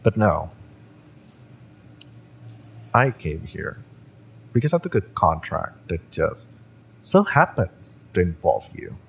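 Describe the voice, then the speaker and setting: A man voicing a cocky, fiery mercenary in an audio roleplay, with a smirking, taunting, drawn-out delivery. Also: low voice, sing-song, vocal fry, a little hiss or hum, full character voice. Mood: smug, taunting. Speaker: voice actor in romance audio roleplay. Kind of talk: acted audio roleplay monologue.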